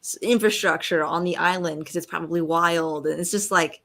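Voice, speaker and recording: A woman's voice: lowish voice, fairly even intonation, normal speed, vocal fry, a bit bright. A woman chatting casually as a panel guest, playful as she explains her point.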